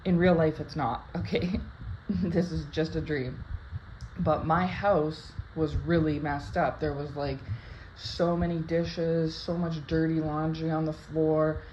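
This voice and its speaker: A woman casually interpreting a dream for her viewers, her voice slightly raspy from just waking up, rambling with many "like" and "right?" tags. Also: deep voice, steady tone, takes it slowly, darkish tone. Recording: dull lo-fi sound with faint background hiss.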